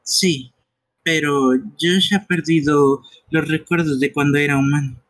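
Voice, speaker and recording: A man's voice: a little high, fairly even intonation, measured pace, a bit bright. A man streaming a live fan dub of a video game, reading its dialogue aloud in character. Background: game music.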